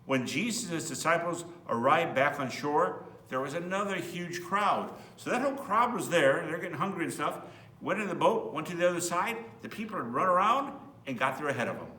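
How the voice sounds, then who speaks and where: Man, children's storybook read-aloud, very animated pitch, bright voice, simple explanatory asides. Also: brisk. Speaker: priest reading a children's Bible story. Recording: roomy, distant mic, faint hum.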